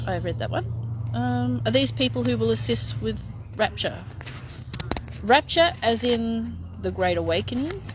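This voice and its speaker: Middle-aged woman chatting thru viewer questions, blunt and sure of herself, normal pitch, bit of faint noise behind. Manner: matter-of-fact, emphatic, laughs often, reads out questions. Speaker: channeler answering viewer questions. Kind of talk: casual live Q&A chat.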